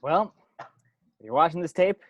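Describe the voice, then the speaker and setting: A man's voice: fairly high pitch, lively intonation, normal speed, muffled recording, close to an everyday voice. A man playing a dead young film geek who explains horror rules on a homemade video, emphatic and stop-and-start, in an amateur table read. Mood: earnest, ominous.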